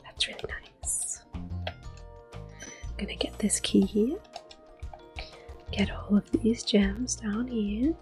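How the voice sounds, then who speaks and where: A woman giving gentle, hushed ASMR commentary on a video game, slipping between soft speech and whispers. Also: medium pitch, wildly swooping pitch, takes it slowly, pauses often. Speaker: host playing a video game. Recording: soft game music behind. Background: quiet game music.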